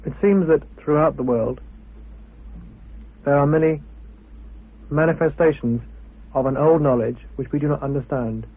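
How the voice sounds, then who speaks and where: A man giving an expository talk as an amateur researcher, in deliberate, halting phrases. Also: on the high side, fairly even intonation, husky, phone-quality sound. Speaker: sociologist and amateur antiquity researcher. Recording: thin, band-limited old radio recording.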